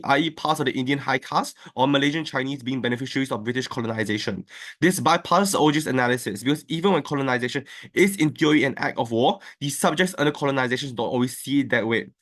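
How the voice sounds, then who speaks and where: A man delivering a competitive debate speech over an online call, arguing point by point with quick rebuttals. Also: medium pitch, a bit bright. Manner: fast, structured argument with quick rebuttals. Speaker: debate speaker. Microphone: online call, own mic.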